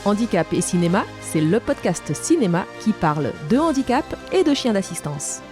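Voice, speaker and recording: A woman's voice: mid-range voice, very animated, normal speed, over music. A woman hosting a podcast, presenting and interviewing in an articulate, careful way.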